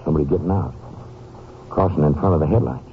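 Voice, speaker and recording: An actor playing a folksy, often puzzled country sheriff in a 1950s radio drama, with a questioning, drawn-out manner. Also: male voice, very deep voice. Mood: curious. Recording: muffled, noisy old-time radio recording.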